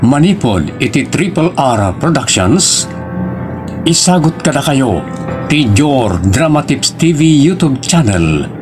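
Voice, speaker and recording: A man announces a radio-style drama in scripted fashion. He has a Filipino accent and a medium-pitched voice, and music plays clearly beneath him. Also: big pitch swings, slow. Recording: music under the voice.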